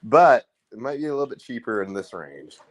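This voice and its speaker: A man with an Indiana accent hosting a casual livestream, talking in a rambling, profane, excitable way. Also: medium pitch, a little fast, dry recording. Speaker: livestream host.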